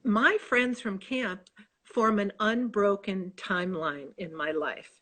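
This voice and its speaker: A woman's voice: mid-range voice, takes it slowly, bright, forceful tone. A woman in her sixties with a Chicago accent, an author talking eagerly and in rambling restarts over a video call. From Midwest United States. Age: 60s. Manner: enthusiastic, rambling, many restarts. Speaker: author guest. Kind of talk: casual video chat interview.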